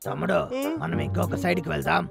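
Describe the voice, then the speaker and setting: Very gravelly big-brother cartoon bear. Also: male voice, on the high side, fairly even intonation, fast talker, loud music behind the voice. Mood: protective. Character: bossy, protective elder brother cartoon bear. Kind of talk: dubbed children's cartoon acting.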